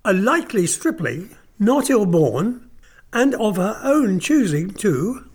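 A man's voice: sits high, sing-song, takes it slowly, darkish tone, husky, voice pushed up, full character voice. Man putting on a leering old nobleman. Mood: sly. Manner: leering, drawling, sudden harsh bursts. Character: sly mocking old nobleman, uncle, lame.

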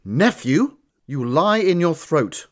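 A man voicing a proud, indignant young gentleman who speaks forcefully. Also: fairly high pitch, sing-song, moderate pace.

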